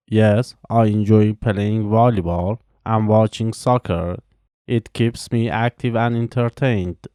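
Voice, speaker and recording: Careful, mellow man. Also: fairly low pitch, clear, resonant tone, dry recording, clean studio sound. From Iran. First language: Farsi. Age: 20s. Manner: slow, careful, evenly paced delivery with pauses between answers. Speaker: language teacher. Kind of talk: reading scripted practice answers.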